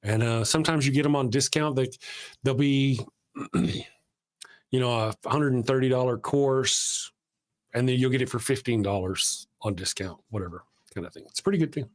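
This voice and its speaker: A man of middle pitch hosts a live stream in a loose, rambling way. He is chatty and quick to make fun of himself. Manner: chatty, rambling and self-deprecating. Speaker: host of a live show. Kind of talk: casual live-stream chat.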